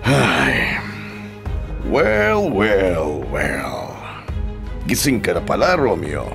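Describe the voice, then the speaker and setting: A man's voice: low voice, huge pitch swings, very slow, creaky, music in the background, heavily acted. An actor in a Filipino radio drama playing a menacing, mocking middle-aged surgeon father, taunting and theatrical, mixing Tagalog and English. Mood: smug, businesslike.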